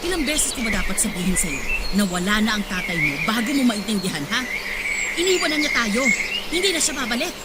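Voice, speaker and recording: A woman's voice: a little high, big pitch swings, fairly quick, very noisy. An actress playing a hardworking, scolding Filipina mother who sells vegetables at market, nagging and pleading in a Tagalog radio drama. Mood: angry, hurt. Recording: loud music under the voice.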